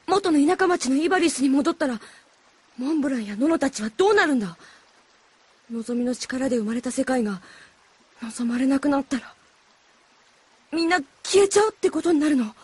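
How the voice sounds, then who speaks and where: A woman voicing a gentle, sincere young boy hero in a Japanese audio drama, soft-hearted and earnest. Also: high-pitched, expressive, moderate pace, long pauses. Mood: troubled, resolute.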